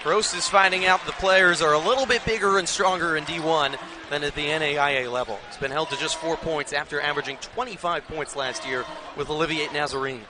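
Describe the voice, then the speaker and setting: A man's voice: on the high side, fairly quick. An American man doing live basketball play-by-play as an announcer, with an emphatic, projected broadcast delivery. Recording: loud arena crowd noise behind. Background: crowd and basketball bounce.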